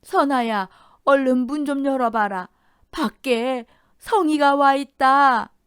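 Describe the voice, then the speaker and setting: A woman plays a frail old grandmother with a confused mind, pleading urgently in a high, strained voice. The recording is close and completely dry. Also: slow, fairly bright tone, no room sound. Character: frail elderly mother-in-law, confused and urgent.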